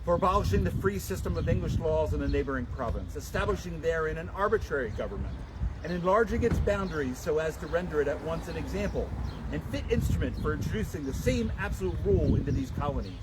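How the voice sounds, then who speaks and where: A man giving a solemn, dramatic public reading of a historical document, with deliberate, declamatory phrasing. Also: fairly high pitch, animated. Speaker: public reader and livestream host. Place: outdoors at a town memorial. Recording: noisy outdoor livestream, traffic and street sounds.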